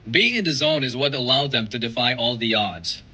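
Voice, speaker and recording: A man's voice: mid-range voice, lively intonation, moderate pace, sharp tone, slightly rough, poor audio. A man narrating a documentary in a scripted, dramatic, rhetorical style.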